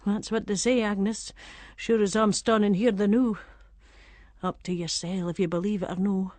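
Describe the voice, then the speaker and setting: A woman's voice: mid-range voice, steady tone, moderate pace, darkish tone, no room sound, natural voice. An actress playing a gossipy, superstitious Scottish village woman, chatting conspiratorially in Scots dialect as a dramatic monologue. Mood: storytelling, confiding.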